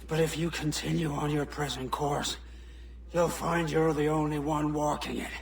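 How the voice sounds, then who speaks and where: An actor playing a stern older male pirate turned pirate hunter in a video game cutscene, gruff and scolding. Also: on the high side, little pitch movement, far from the mic. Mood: grave warning. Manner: gruff, scolding, slow and grave.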